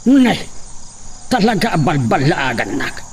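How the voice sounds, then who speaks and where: A man playing a stubborn, proud old servant in a radio drama, with a strained high voice. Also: sing-song, measured pace, recorded from a distance, slight background noise. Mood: scolding. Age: older. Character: stubborn old male servant Ama Emiliano.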